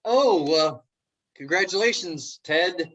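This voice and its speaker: A man plays an awkward, wry office worker in a stage comedy. His voice is husky and mid-pitched, with lively rises and falls. He talks slowly and haltingly, nervously hopeful. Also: lo-fi sound, exaggerated voice. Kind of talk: comedic play table reading.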